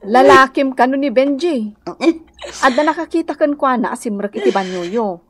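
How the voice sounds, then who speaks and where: An actress playing a nosy, insinuating village gossip in a Filipino radio comedy drama. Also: female voice, high-pitched, expressive, moderate pace, fairly bright tone. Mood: tattling. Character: nosy village woman passing on scandalous gossip.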